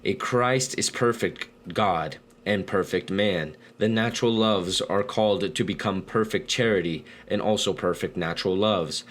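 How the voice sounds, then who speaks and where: A man's voice: deep voice, a little slow, few pauses, a bit bright. A man reading a book aloud as a read-along host, with informal, slangy commentary. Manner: profane, informal asides with bro slang.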